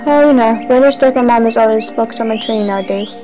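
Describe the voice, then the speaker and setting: A determined young lioness heroine of a cartoon fan dub acted by a woman. Her voice is fairly high and feisty, set over clearly audible music. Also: quick pace, lightly acted, low-quality recording. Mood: wistful. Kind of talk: animated fan dub acting.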